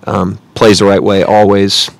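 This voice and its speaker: A man in his thirties, a head coach answering questions at a post-game press conference, measured and analytical with frequent fillers, speaking into a close table mic. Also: fairly low pitch, completely flat delivery, gravelly, no room sound. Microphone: table mic close in front.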